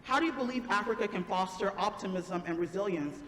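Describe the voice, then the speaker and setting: A young woman student from Ghana asks a polite question from the audience, talking quickly and a little nervously. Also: medium pitch, a bit bright. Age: young adult. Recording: echoey hall with faint noise.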